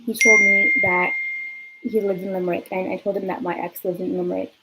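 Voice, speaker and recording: A woman's voice: medium pitch, level tone, fairly quick, very noisy. A young woman from California telling a rambling personal story in a casual vlog, full of fillers and swearing. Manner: chatty, lots of fillers and swearing. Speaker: vlogger.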